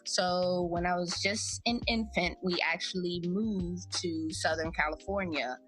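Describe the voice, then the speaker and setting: A woman in her thirties, a podcast host, telling her life story in a relaxed, chatty, reflective way. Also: lowish voice, measured pace, over music. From United States. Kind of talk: casual personal storytelling.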